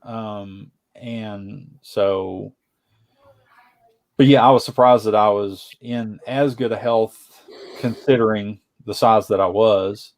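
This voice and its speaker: An easygoing man in his mid-forties speaks in a group chat. His voice sits a little low, and he often agrees and fills the gaps with small asides. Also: fairly even intonation, takes it slowly, darkish tone. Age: 40s. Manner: easygoing, agreeable, many fillers.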